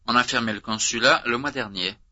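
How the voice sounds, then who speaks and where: A man reading out language drill prompts as an instructor, slow and clearly articulated. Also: medium pitch, bright, forceful tone. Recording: dull lo-fi tape recording.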